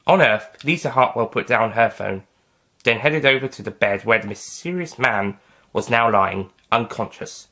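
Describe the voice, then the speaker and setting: A man's voice: mid-range voice, bright, forceful tone. Male narrator, mid-range voice, scripted storytelling, audio fiction. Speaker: author and narrator.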